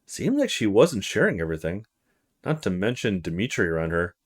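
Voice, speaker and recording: A man's voice: lowish voice, sing-song, fairly quick. A man voicing a polite young male student in a visual novel, thinking to himself in casual short fragments. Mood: pensive. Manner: casual inner monologue with short musing fragments.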